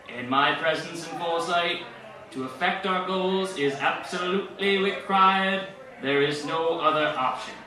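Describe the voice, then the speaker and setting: An actor playing a pompous, smugly grand 1940s president giving a formal political speech as in old-time radio. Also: male voice, fairly high pitch, natural voice. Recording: distant echoey hall with crowd behind.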